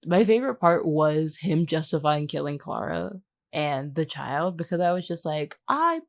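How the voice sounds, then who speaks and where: A woman's voice: low voice, lively intonation, moderate pace, creaky. A woman chatting casually in a wry, teasing way as a podcast co-host. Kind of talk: casual behind-the-scenes podcast chat.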